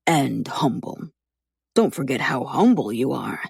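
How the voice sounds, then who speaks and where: A woman voicing a calm, teasing man in his late twenties who talks in a measured, reasoning way. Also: mid-range voice, vocal fry, husky, no room sound, studio quality, voice pushed down, full character voice. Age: 20s.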